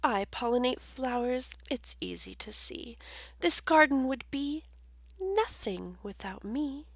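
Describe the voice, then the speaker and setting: A woman's voice: sits high, expressive, slow pace, dry recording, heavily acted. A woman doing a self-important buzzing honeybee. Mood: haughty.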